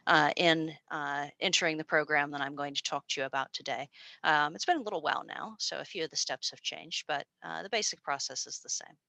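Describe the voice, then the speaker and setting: An American woman presents to an online audience in a low yet bright, forceful voice. She speaks in a chatty, informal way, stumbling over fillers and restarting her sentences. The sound is close and dry. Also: gravelly, no room sound. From United States. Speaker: presenter and postdoctoral researcher. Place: small room at a university. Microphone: video call.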